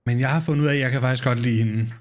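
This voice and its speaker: A man's voice: mid-range voice, steady tone, very fast. A man from Denmark chatting casually as he streams a game, in an offhand, fragmented way. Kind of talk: casual livestream chat over gameplay.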